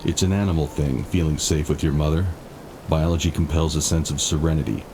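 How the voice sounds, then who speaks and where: A man acting as a brooding narrator, telling a haunted childhood memory in dramatic, measured, scripted style. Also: rumbling low, steady tone, background noise. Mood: somber, haunted. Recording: loud music behind.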